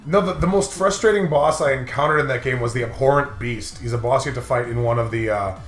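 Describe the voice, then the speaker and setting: A man chats casually over a video game, rambling and swearing as he explains things at length. His mid-pitched voice sits in a roomy echo, with faint game music behind it. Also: quick pace. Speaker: co-host of a gaming playthrough show.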